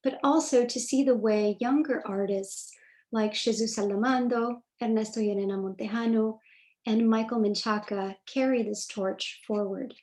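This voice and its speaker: A woman moderator opens a virtual conversation, reading a prepared script in measured, formal sentences. Her voice sits a little high. She comes through a video call. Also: steady tone, a little mellow. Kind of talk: scripted introduction for a virtual talk.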